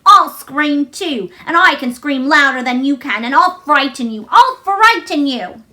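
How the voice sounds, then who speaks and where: Woman acting furious, high-voiced little girl. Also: expressive, a little slow, full character voice. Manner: shouting, fierce, commanding. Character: furious, stubborn, bossy little girl, savage temper.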